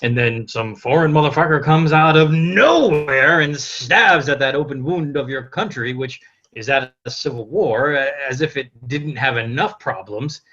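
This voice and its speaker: A man's voice: mid-range voice, normal speed, bright, forceful tone. An actor playing a cocky, foul-mouthed young French king who gloats and swaggers like a stage showman, over a lo-fi online call. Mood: indignant. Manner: boastful, indignant, swaggering. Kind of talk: theatrical comic monologue in a table read. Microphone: video call.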